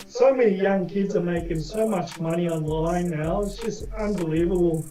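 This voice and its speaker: The mellow older mate on a group voice call, middle-aged man, chummy and slangy, heard from across an echoey room. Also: high voice, normal speed, a little hiss or hum. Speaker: caller in a group voice chat. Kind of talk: casual chat. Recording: distant, roomy voice chat with music.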